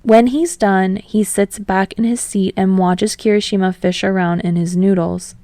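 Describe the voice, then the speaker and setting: Mellow, creaky female story narrator. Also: medium pitch, fairly even intonation, normal speed, no echo. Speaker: audiobook narrator. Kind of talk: scripted fiction narration.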